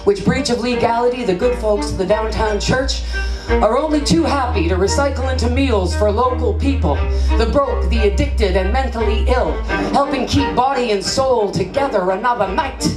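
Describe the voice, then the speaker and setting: A woman's voice: on the high side, moderate pace. Theatrical woman poet performing onstage. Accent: Canadian English. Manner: rhythmic, theatrical delivery with big gestures. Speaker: spoken word poet. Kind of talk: performed spoken word poetry. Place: small stage at a festival venue. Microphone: handheld microphone. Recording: echoey live room with music.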